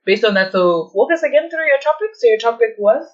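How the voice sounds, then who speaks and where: A man's voice: very high-pitched, expressive, fairly quick. A man hosting a podcast, chatting casually and encouragingly with a guest. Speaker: podcast host. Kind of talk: casual interview chat. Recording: dull lo-fi audio.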